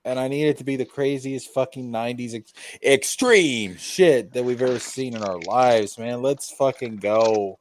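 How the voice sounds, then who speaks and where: A man's voice: medium pitch, normal speed, hardly any pauses, darkish tone, a little hiss or hum. A man in a casual gaming chat, talking in a bombastic, emphatic and profane way.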